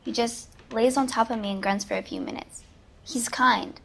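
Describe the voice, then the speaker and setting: A woman's voice: a little high, steady tone, moderate pace, fairly bright tone, slight background noise. A woman voicing a meek, naive young teenage girl in a dramatic video game. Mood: naive, defensive.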